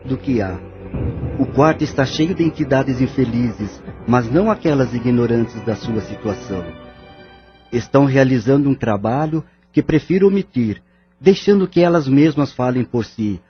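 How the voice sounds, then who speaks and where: Actor as solemn male medium, medium pitch. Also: animated, normal speed, natural voice. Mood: solemn, earnest. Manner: formal, earnest. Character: solemn male spirit medium describing his visions. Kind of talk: audio drama acting. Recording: dull lo-fi audio. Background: background music.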